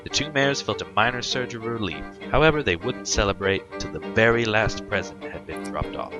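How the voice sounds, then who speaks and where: Dude reading fanfic narration, lowish voice, music really loud behind him. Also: male voice, fairly quick, low-quality recording. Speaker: co-host, narrator. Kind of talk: scripted fan fiction narration. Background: loud background music.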